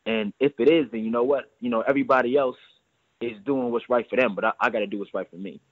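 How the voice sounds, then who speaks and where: A young man in his twenties speaks fast and earnestly, often stumbling into repeated words. His voice comes through a narrow, tinny phone line with no room sound at all. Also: medium pitch, fairly even intonation, slightly rough, dry recording. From New York. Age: 20s. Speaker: guest entrepreneur. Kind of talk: phone interview.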